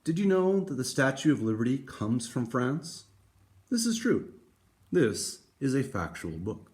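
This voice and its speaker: A man hosting a read-aloud for schoolchildren, speaking in a deliberate storytelling way to young listeners. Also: medium pitch, sing-song, a little mellow. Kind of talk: expressive children's picture book read-aloud.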